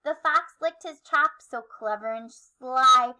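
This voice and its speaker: A woman reading a children's story aloud in expressive, rhythmic, sing-song rhyme. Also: high voice, slow pace. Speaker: storybook reader.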